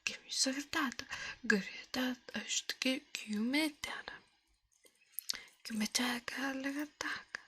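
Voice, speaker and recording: Breathy, whispering ASMR woman, slow and close. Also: high-pitched, pushed and bright, dry recording. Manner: slow, playful repetition of syllables and words. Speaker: ASMR streamer. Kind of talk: whispered ASMR storytelling with repeated nonsense trigger sounds. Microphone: close microphone.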